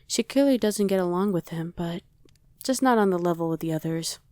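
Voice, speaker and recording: Woman acting as a casual survivor, mellow and low. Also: lively intonation, a little fast, no room sound, close to an everyday voice. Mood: casual, explaining. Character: casual young woman survivor of a demon invasion. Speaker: voice actor. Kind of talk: ASMR roleplay acting.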